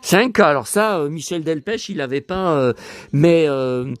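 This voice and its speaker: A hoarse middle-aged man chatting in a casual vlog, rambling, repeating himself and stammering, with emotional asides. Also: a little high, animated, normal speed, no echo. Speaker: YouTuber. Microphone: phone.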